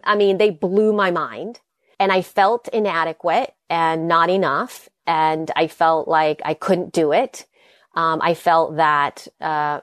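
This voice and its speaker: A woman's voice: fairly low pitch, no echo, studio quality. A woman from El Salvador, a native Spanish speaker with a slight Spanish accent, talking earnestly in a casual podcast chat. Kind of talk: casual conversation.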